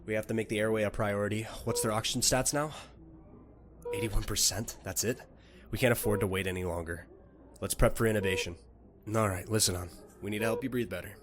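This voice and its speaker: A man playing a calm emergency doctor in an audio roleplay, gentle and reassuring yet authoritative. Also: lowish voice, brisk, natural voice. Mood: brisk, commanding, then soothing, steady. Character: calm, reassuring male emergency doctor tending critical patient.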